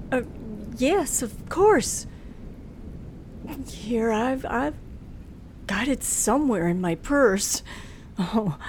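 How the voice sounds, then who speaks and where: An actress playing a nervous young woman who tells her own tale of driving alone at night in an old radio thriller. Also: fairly high pitch. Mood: flustered. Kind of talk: old-time radio thriller acting, first-person narration. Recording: noisy old radio drama recording.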